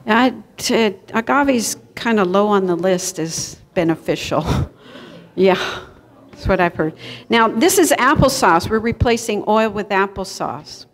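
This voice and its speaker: Woman, middle-aged, cooking class presenter. Conversational and explanatory, mid-pitched, recorded live in a reverberant hall. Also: lively intonation, a little slow. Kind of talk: informal live cooking demonstration. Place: church kitchen hall, indoors, before an audience.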